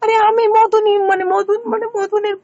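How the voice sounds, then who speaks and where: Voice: extremely high pitch, little pitch movement, normal speed, darkish tone, no echo, voice pushed up. A prank caller voicing a pestering, whiny village woman who pleads and rambles on a phone call recording. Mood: insistent, rambling. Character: pestering, whiny village woman hunting her runaway lover.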